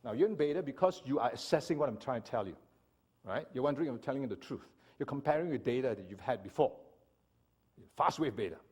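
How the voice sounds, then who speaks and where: A man from Singapore giving an animated church seminar talk and often asking his listeners "right?". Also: medium pitch, husky. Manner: animated lecturing, asks audience "right?". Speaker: speaker.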